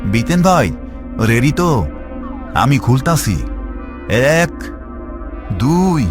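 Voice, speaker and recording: A man playing a commanding, quick-witted village guard leader with a rural Bangladeshi Bengali accent in an animated horror story. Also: medium pitch, music in the background. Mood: urgent.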